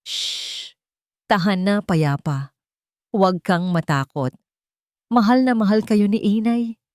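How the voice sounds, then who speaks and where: A woman's voice: medium pitch, takes it slowly, darkish tone, no room sound, close to an everyday voice. A woman narrator plays a poor Filipino mother in a storybook drama. She is tender and full of sorrow as she soothes her child, with a very dry sound. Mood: tender soothing.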